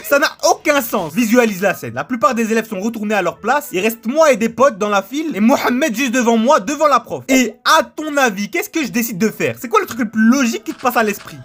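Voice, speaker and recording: High-voiced, fast-talking young man. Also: expressive, a bit bright. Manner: slangy, joking, addresses viewer directly. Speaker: YouTube storyteller. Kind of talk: casual anecdote storytelling to camera.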